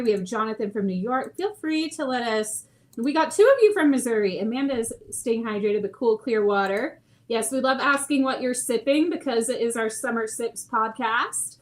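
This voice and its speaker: A woman hosting a live podcast, bubbly and quick to laugh as she reads out chat comments. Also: fairly high pitch, expressive. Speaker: podcast host.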